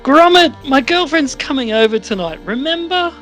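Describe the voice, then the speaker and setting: A man's voice: extremely high pitch, expressive, normal speed, fairly bright tone, soft background music, voice pushed up. A man playing an exasperated, indignant young college student in an amateur radio play. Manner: indignant, exclaiming. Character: exasperated young male college student, disgusted by his roommate.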